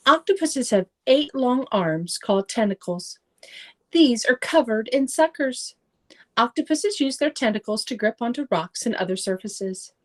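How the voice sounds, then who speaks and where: Middle-aged lady doing a kids storytime read aloud, voice a bit high, careful teachery delivery, on a computer mic at home. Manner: careful storytime delivery with simple explanatory asides for children. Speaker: children's read-aloud presenter. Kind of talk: reading a children's nonfiction book aloud.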